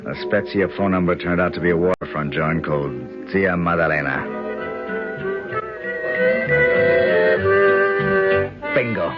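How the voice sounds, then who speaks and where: A man's voice: low voice, brisk, over loud music, close to an everyday voice. An actor playing a tough, wisecracking male hero who narrates his own story in a radio drama, clipped and blunt. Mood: narrating. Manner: clipped, wisecracking, hard-boiled. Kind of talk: 1970s radio drama acting with first-person narration. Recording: dull lo-fi radio recording.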